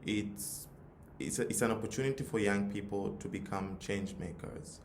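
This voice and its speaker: Deep-voiced Tanzanian man in an echoey room. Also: moderate pace. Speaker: youth nonprofit leader, forum speaker. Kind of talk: motivational talk with personal story. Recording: roomy echo, audible background noise.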